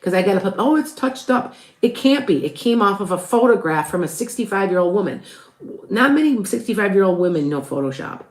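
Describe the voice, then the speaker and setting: A woman's voice: medium pitch, sing-song, a little fast, darkish tone, reverberant. A woman with a Chicago accent, a tarot reader chatting loosely on a live stream and reading out viewers' questions as she rambles along. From Chicago area, with Wisconsin roots.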